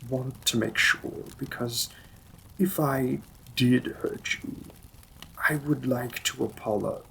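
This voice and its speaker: A man playing a possessive demon king and devoted lover in a romantic fantasy audio roleplay, often dropping his breathy voice to a whisper. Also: mid-range voice, takes it slowly, pushed and bright, a little hiss or hum, exaggerated voice, poor audio. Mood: tender, concerned.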